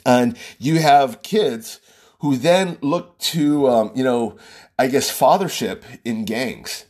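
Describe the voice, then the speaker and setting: Man from Hawaii, husky, animated delivery, casual opinion monologue. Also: mid-range voice. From Hawaii, USA. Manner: loose, conversational, repeats and restarts phrases.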